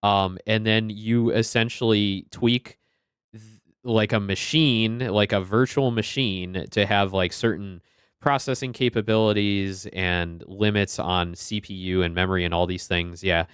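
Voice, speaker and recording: Chatty low-voiced man streaming a game. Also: steady tone, measured pace, fairly bright tone, clear, resonant tone, dry recording, clean studio sound. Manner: friendly, chatty, greets chat by name. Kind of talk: casual game stream chat.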